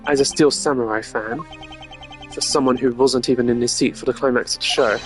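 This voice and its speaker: A man voicing a stern, formal gentleman prosecutor from a video game who questions pointedly. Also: mid-range voice, steady tone, fairly quick, soft background music, lightly acted. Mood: indignant.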